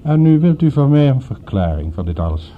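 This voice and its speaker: An actor in a Dutch radio play voicing a middle-aged father and businessman, formal, calculating and deliberate in manner. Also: mid-range voice, very animated, slight background noise, close to an everyday voice. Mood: guarded, probing. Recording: tinny narrow-band old radio, faint music.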